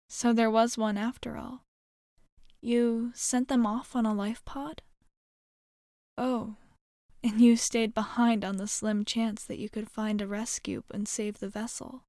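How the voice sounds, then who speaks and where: Girl doing a lonely gentle alien lady for asmr, soft reassuring talk, really slow, no room echo. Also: on the high side, clean studio sound. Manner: soft-spoken, hesitant, reassuring, with pauses. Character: gentle, curious, lonely female alien space nomad. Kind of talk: ASMR roleplay, soft intimate acting.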